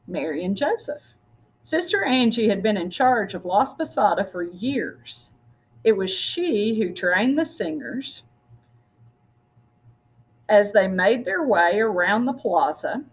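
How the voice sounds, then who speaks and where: A woman with a slightly husky voice reads a picture book aloud, speaking directly to her listeners as a storyteller. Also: mid-range voice, poor audio. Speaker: story reader.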